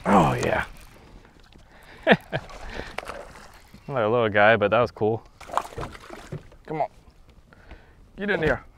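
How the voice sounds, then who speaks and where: A man's voice: on the low side, very animated, takes it slowly, long pauses, a little hiss or hum. A young man vlogging as he fishes from a kayak on an open lake, chatting casually to himself and the fish and laughing now and then, picked up by an action camera mounted on the boat. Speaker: fishing vlogger. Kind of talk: casual vlog narration.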